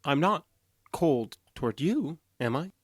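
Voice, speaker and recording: A restrained, dry-recorded, detached boyfriend. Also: male voice, fairly high pitch, a little slow, no room sound. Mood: confused, hesitant. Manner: flat, hesitant, literal, restrained. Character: calm, emotionally detached man, awkward and earnest boyfriend. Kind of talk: naturalistic audio drama acting.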